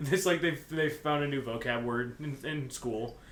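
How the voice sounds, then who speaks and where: A man's voice: medium pitch, slow pace, a bit bright. Joking man reading aloud, echoey room. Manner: joking, mocking asides between narration. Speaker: co-host reading fan fiction aloud. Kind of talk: comedic read-aloud with casual banter. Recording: echoey room, faint background noise.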